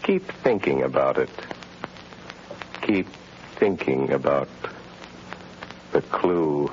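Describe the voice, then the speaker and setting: An actor playing an unseen, menacing male avenger in a 1930s radio drama, speaking in a slow, commanding voice with a mocking laugh. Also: extremely low pitch, lively intonation, darkish tone, a little hiss or hum, heavily acted. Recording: muffled 1930s radio recording.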